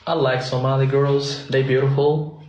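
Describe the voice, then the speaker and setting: A man chats flirtatiously over a video call, his English simple and broken. His mid-pitched voice sits in a roomy echo with a little background noise. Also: little pitch movement, moderate pace, darkish tone, highs cut off. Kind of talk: casual flirting chat.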